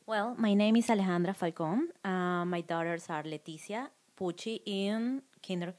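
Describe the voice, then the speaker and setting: A woman's voice: mid-range voice, a little mellow. Spanish-accented woman speaking earnestly. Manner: earnest, halting. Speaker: guest parent. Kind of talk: interview answers. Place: small school office, indoors. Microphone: handheld mic.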